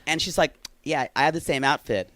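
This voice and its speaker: A man's voice: on the high side, brisk, pushed and bright, no room sound. A man co-hosting a podcast, chatting casually and animatedly, laughing often and restarting his sentences. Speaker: podcast co-host. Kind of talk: casual recap chat.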